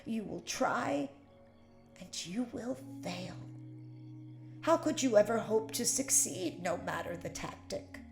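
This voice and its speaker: A woman playing a proud, devout older Puritan prisoner in a period audio drama, speaking solemnly and deliberately in archaic phrasing. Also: medium pitch, animated, gravelly, soft background music. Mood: defiant. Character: defiant, devout older imprisoned Puritan wife, proud and unbending. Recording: roomy echo.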